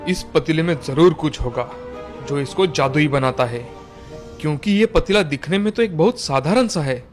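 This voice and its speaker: Male folktale character, played by a man, slightly high pitched, cartoon storytelling delivery, faint music bed. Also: normal speed, lightly acted. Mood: pondering. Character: men of a moral folktale: labourer, landlord, greedy king.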